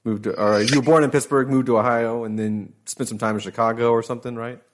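A man's voice: medium pitch, fairly even intonation, quick pace. A man interviewing a musician, asking long rambling questions full of you know and like. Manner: rambling, chatty, heavy on fillers like you know and like. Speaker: podcast interviewer. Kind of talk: casual interview conversation.

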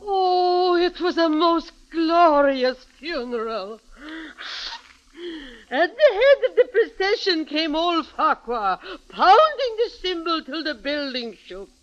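An actress playing an effusive, wailing Egyptian mourner in a 1940s radio drama, theatrical and drawn-out, on a dull old recording. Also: female voice, very high voice, big pitch swings, heavily acted. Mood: rapturous, weepy.

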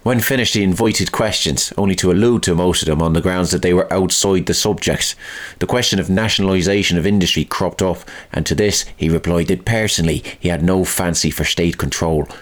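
Male reader, deep register, scripted first-person diary narration. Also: brisk.

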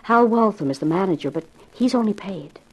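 A woman's voice: medium pitch, expressive, a little fast, darkish tone, lightly acted, rough recording. An actress playing a worldly, alluring club woman, intimate and knowing, in a 1950s radio crime drama. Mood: shrewd, knowing.

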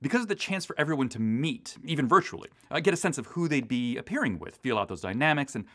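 A man co-hosting a podcast as a director, explaining things in measured phrases in a conversational, loosely scripted style. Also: mid-range voice, lively intonation, a bit bright. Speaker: audio drama director, co-host.

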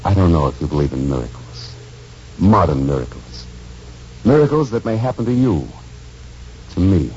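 A grave, gentle military gentleman in an old radio play. His deep, dark voice swings expressively through formal, halting, earnest speeches, on a muffled vintage recording. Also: very animated, a little hiss or hum. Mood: earnest, fervent. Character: gentle, grave older Major explaining a miracle. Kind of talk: 1940s radio drama acting.

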